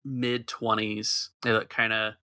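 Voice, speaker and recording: A man's voice: fairly low pitch, flat delivery, slow, pushed and bright, clear, resonant tone, dry recording, clean studio sound. A man narrating a tabletop role-playing game as game master, describing scenes and calling for checks.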